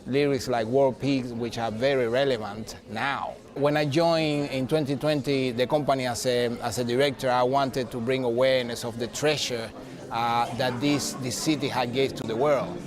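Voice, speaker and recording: A man's voice: mid-range voice, steady tone, normal speed. A man in his forties from Cuba, with a Cuban accent and Spanish as his first language, giving thoughtful answers as a ballet company director in a TV news interview, often restarting and searching for words.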